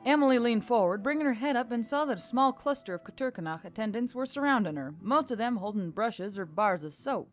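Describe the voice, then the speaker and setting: A woman's voice: on the high side, lively intonation, brisk, hardly any pauses. A woman reading a scripted fantasy story as narrator. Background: music.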